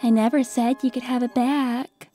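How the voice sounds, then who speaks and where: A woman's voice: a little high, little pitch movement, moderate pace, mellow, faint music behind, close to an everyday voice. A woman voicing a bossy, teasing young female animal character with a haughty, pointed manner in a cartoon comic dub. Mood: smug.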